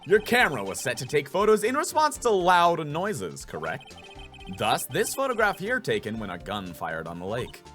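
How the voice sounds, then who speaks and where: A man's voice: fairly high pitch, huge pitch swings, normal speed, fairly bright tone, soft background music. A man voicing a determined young lawyer. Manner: earnest, emphatic. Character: earnest young male defense lawyer, determined. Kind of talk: video game voice acting.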